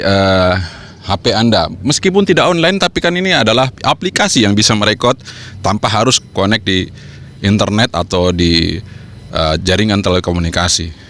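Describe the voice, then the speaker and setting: Low-voiced man coaching informally. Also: fairly bright tone. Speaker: amateur radio vlogger and trainer. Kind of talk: informal instructional vlog talk to camera.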